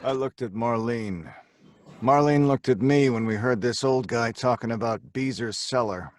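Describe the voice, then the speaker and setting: A man's voice: mid-range voice, moderate pace, close to an everyday voice. An actor playing a terse criminal who narrates his own story in laconic, hardboiled radio drama style. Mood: wry, watchful. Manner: laconic, plain-spoken storytelling.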